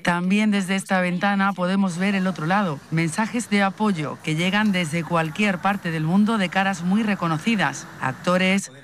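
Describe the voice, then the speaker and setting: A woman reporter reading a scripted news voice-over in a measured, formal way. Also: lowish voice.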